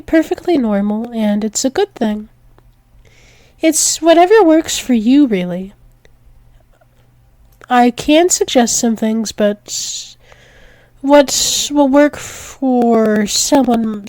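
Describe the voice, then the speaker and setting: A woman's voice: a little high, dark tone, dry recording. A woman playing a tender, possessive alpha werewolf demon lover in an intimate ASMR roleplay, soothing and repetitive with small hesitant stumbles. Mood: reassuring, affectionate. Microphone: close microphone, dry.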